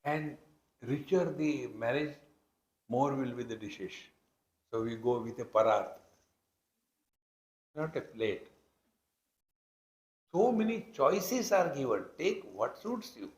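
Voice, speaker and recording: A man's voice: mid-range voice, expressive, takes it slowly, pauses often. Indian-accented male swami in an echoey room. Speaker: spiritual teacher, swami. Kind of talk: spiritual discourse expounding scripture. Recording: roomy, echoing hall sound.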